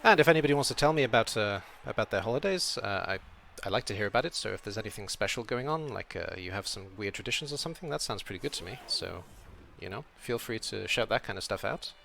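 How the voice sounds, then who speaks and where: A young man from Wales speaks with a British accent that carries a slight European tilt, his voice low and a little gravelly. He chats easily as he streams, answering viewers and talking through each move, with a faint hiss of noise underneath. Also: expressive, fast, few pauses. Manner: chatty, reads chat aloud, thinks out loud. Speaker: streamer playing a video game. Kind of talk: casual live-stream commentary.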